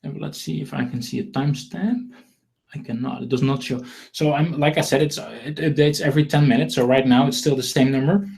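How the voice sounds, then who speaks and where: Dutch guy doing a live sales webinar, second-language english, kinda rambly and humble, repeats himself, mid pitch. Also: brisk, gravelly, darkish tone. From Netherlands. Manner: rambling, repetitive, self-deprecating. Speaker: webinar host selling marketing software.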